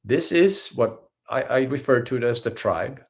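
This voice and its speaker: Swedish man giving a lively spiritual talk. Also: medium pitch, moderate pace. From Sweden. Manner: earnest, animated, acts out little scenes in quotes. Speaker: spiritual healer and host. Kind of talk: live-streamed spiritual talk.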